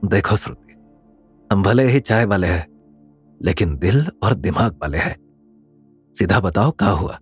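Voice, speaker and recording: Humble young male tea vendor, acted by a narrator: polite, protective, rustic phrasing, expressive intonation. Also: low voice, a little slow, pauses often, breathy edge, faint music behind, pitched below the performer's own voice, full character voice. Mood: concerned. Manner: rustic polite Hindi, calm but firm. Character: kind, principled young male tea seller, protective, earnest.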